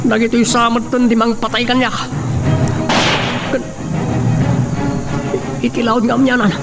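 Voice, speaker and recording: A man's voice: very high voice, low-quality recording. A man acting a tense scene in a radio drama, talking to himself, with music underneath.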